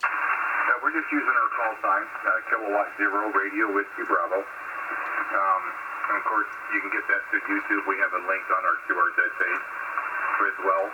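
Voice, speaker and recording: Over a radio link buried in heavy static, a low-pitched man chats casually as a ham operator. He rambles along politely, with a folksy turn of phrase. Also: steady tone, normal speed, dull audio. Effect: sideband radio transmission. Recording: very noisy shortwave radio signal with static.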